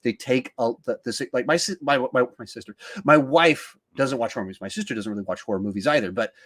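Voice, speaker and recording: A man's voice: mid-range voice, fast talker, fairly bright tone, husky. A man hosting a podcast in a casual film discussion, thinking aloud in a rambling way with repeated words and restarts. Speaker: podcast host.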